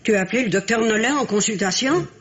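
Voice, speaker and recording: Old french matriarch in a radio play, husky, haughty, bossy and cutting, lowish for a woman. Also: quick pace. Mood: scornful. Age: older. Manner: imperious, theatrical, cutting. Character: haughty, domineering old French matriarch, scornful of her son. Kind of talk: French radio drama acting.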